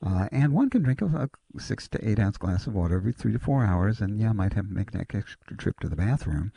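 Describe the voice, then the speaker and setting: A man with a low, gravelly, somewhat husky voice walks a caller through natural-medicine advice. His delivery swings up and down in pitch as he explains, polite and earnest. The sound is dark and dry, close to the mic. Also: dry recording. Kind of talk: radio call-in advice.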